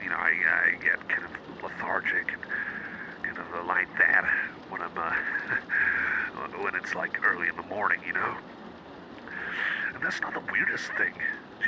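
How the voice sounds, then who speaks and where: A man's voice: lowish voice, gravelly, very noisy. A man playing a shy, nervous cyborg friend in an ASMR roleplay, rambling hesitantly and apologetically as if chatting one-on-one. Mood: sheepish, unsure. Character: shy, nervous young male cyborg chum, rambling and awkward. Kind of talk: ASMR roleplay, intimate one-sided chat.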